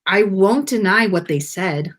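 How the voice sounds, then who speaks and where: A woman's voice: medium pitch, animated, a little slow, fairly bright tone. Woman reading as a nervous, lovestruck man. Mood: nervous, earnest. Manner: hesitant, pleading, exasperated. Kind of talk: storybook read-aloud of fan fiction.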